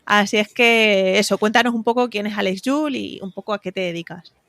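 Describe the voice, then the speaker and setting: A woman's voice: mid-range voice, brisk, a bit bright, no room sound, studio quality. A woman with an accent from Spain hosting a podcast, introducing and interviewing in a friendly style. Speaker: podcast host interviewing a guest.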